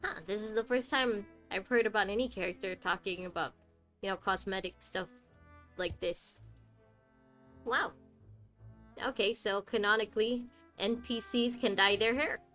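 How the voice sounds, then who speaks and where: A woman's voice: medium pitch, normal speed, pauses often, a bit bright. A woman giving casual, chatty live playthrough commentary, playfully talking back to the characters. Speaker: game streamer. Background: faint game music.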